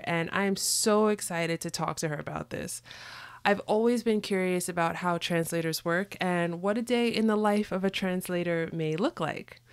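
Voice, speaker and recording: A woman's voice: fairly low pitch, smooth, no room sound. Upbeat woman podcast host, dry sound. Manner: upbeat, enthusiastic. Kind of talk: scripted intro then interview chat.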